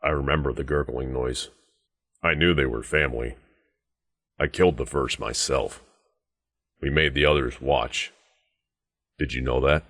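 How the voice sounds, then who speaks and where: An actor playing a stern, grim wasteland deputy sergeant in a video game, speaking in a terse, measured, guarded military way. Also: male voice, a bass voice, expressive, fairly bright tone, dry recording, clean studio sound, exaggerated voice. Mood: cold menace. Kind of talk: scripted video game dialogue.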